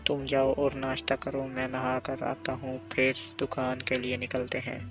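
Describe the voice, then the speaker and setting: A man's voice: mid-range voice, completely flat delivery, moderate pace, lightly acted. A man playing an earnest, brotherly young village sweet-shop keeper in a cartoon story, speaking plainly and politely with music behind. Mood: practical, brisk. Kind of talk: scripted cartoon dialogue.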